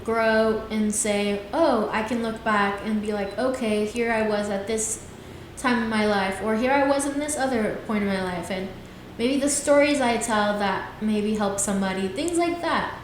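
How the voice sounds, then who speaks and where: A woman's voice: mid-range voice, normal speed, hardly any pauses. A young woman in her twenties talks alone on her podcast, earnest and loosely rambling, with lines that trail off into filler phrases. Her voice sits in a very echoey room, with a faint hiss underneath. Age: 20s. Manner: earnest, rambling, frequent "things like that". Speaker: podcast host. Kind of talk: casual solo podcast talk.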